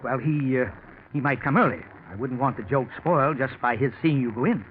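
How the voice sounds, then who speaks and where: A man's voice: mid-range voice, a little fast, lightly acted. A man playing a well-off, scheming businessman in a 1940s radio mystery, genteel and coaxing with a nervous edge. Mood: coaxing, evasive. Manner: genteel, coaxing, repeats words. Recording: scratchy, narrow-band 1940s radio recording.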